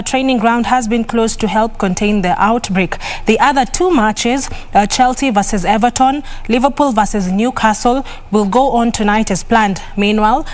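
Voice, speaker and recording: A woman anchoring the sports news, reading the script with crisp, steady delivery. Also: fairly high pitch.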